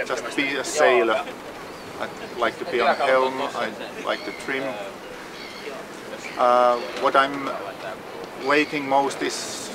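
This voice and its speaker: Slow Finnish man over crowd noise. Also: mid-range voice. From Finland. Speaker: sailing crew member, doctor. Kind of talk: self-introduction to camera. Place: outdoors on a yacht in a marina.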